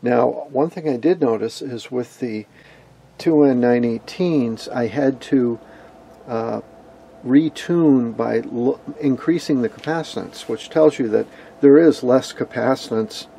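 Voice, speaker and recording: Gravelly, mellow man giving a technical demo. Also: mid-range voice, a little hiss or hum. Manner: halting, matter-of-fact delivery. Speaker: presenter of electronics demonstrations. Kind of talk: unscripted technical demonstration. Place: indoors at an electronics workbench.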